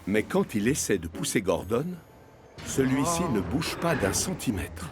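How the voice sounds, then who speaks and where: A man's voice: mid-range voice, sing-song, unhurried. A man narrating a scripted children's cartoon story as the storyteller.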